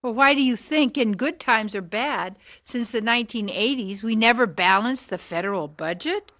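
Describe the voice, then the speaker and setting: A woman's voice: a little high, normal speed, fairly bright tone, lightly acted. A woman playing a forthright, indignant political critic in a radio drama, with a pointed, clipped delivery.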